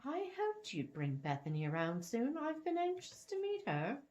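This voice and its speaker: A spry old matchmaking lady from a storybook, acted out by a woman reader. She is genteel and teasing, with a knowing way of talking and a pitch that lilts widely up and down. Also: wildly swooping pitch, moderate pace, darkish tone.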